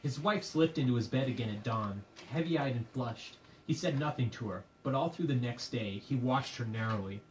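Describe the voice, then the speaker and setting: Man, mid-pitched voice, theatrical scripted narration, measured literary delivery, distant microphone. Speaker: puppet show narrator. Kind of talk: dramatic storytelling, scripted narration. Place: live puppet show performance, room. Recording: distant microphone with faint room noise.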